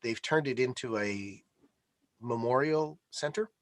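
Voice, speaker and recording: A man's voice: mid-range voice, measured pace, no echo. Hesitant man, dry sound. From Canada. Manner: hesitant, many restarts and fillers. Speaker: guest. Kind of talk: conversational interview.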